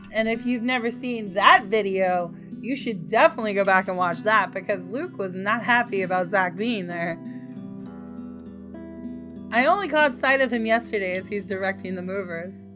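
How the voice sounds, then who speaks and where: A woman reading a visual novel aloud as a gaming YouTuber, expressive and reacting to the story. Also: mid-range voice.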